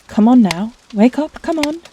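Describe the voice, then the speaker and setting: An actress playing a motherly, no-nonsense woman who takes charge, brisk and caring, in an audio drama. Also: on the high side, expressive, warm tone, a little hiss or hum, close to an everyday voice. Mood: urgent, commanding.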